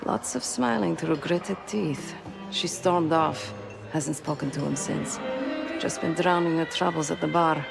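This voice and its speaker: A woman's voice: unhurried, heavily acted. A woman playing a composed, sly undercover operative in a video game, speaking in a cool, confiding, businesslike way. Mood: cool, conspiratorial. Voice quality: low, creaky. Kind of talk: video game cutscene acting. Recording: loud music behind.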